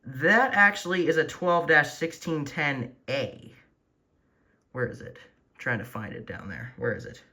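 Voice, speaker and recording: A man with a bright, forward voice talks through a casual hobby show-and-tell. He rambles and corrects himself as he goes, filming handheld in a room at home. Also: a little high, expressive, a little slow. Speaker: hobbyist video host. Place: small furnished room at home, indoors. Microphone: handheld camera or phone, behind the lens.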